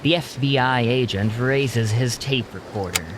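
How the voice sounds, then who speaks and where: A man acting as the brooding narrator of a vampire audio drama, speaking in short, deliberate, suspenseful phrases. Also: mid-range voice, background noise, close to an everyday voice. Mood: ominous. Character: brooding male storyteller of a vampire tale. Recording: voice over loud music.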